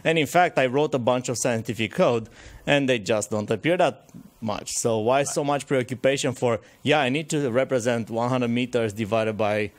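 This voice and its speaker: A hoarse man in the audience of a technical conference, asking a long, challenging question, full of fillers and restarts. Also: mid-range voice, normal speed.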